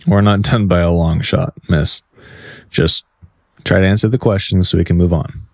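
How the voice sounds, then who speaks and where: A man's voice: sits low, steady tone, normal speed, creaky, a little mellow, no echo, studio quality. A man playing a stern, weary police officer questioning a suspect in an audio drama, firm and terse. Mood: stern, impatient.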